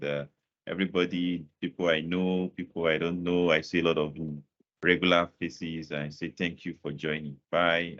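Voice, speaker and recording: A man's voice: low voice, a bit bright, dull audio. A man hosting a webinar and wrapping up with repeated thanks to guests and viewers. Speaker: webinar host. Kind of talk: closing remarks on a webinar.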